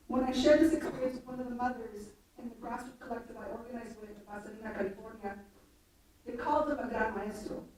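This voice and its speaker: A young adult woman reading a prepared introduction speech in a measured, formal way. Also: a little high, fairly even intonation, darkish tone. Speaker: introducer of a keynote speaker. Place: large room at a live event. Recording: very echoey room, distant mic, faint noise.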